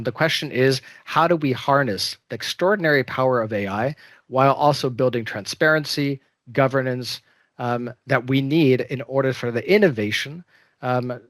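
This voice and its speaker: A man moderating a panel discussion, opening with remarks in a measured way and many hesitations. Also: mid-range voice, a bit bright, dry recording. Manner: measured, with frequent um fillers.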